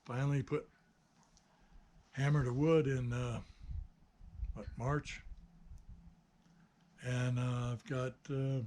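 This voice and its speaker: A man's voice: medium pitch, flat delivery, slow pace, long pauses, darkish tone, a little hiss or hum. A man of sixty-nine giving an unscripted, reflective vlog monologue, hesitant and rambling as he thinks aloud. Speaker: hobbyist maker presenting own video channel.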